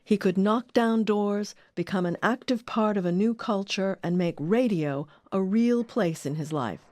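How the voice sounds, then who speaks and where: A woman's voice: medium pitch, unhurried, a little mellow, no echo. A woman reading scripted narration as the host of an audio documentary.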